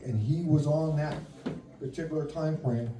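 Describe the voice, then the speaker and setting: A man telling a lively, jokey story at a veterans' meeting, acting out the dialogue, recorded far off in an echoey room. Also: on the high side, moderate pace. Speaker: submarine veteran member. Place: meeting hall.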